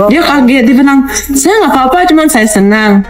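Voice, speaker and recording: A woman's voice: sits high, expressive, moderate pace. Russian-accented woman chatting over music. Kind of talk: casual anecdotal talk to camera.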